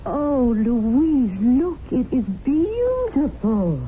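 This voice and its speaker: Worldly, animated English colonial widow. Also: female voice, high voice, drawn-out words, full character voice. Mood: coaxing, pleased. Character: pragmatic, domineering English widowed memsahib in colonial India. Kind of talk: radio drama acting. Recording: tinny, noisy old radio broadcast.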